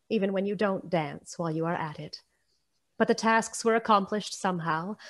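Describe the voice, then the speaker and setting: A woman's voice: mid-range voice, lightly acted. A woman narrating a radio play as a scripted storyteller, expressively savoring each descriptive word.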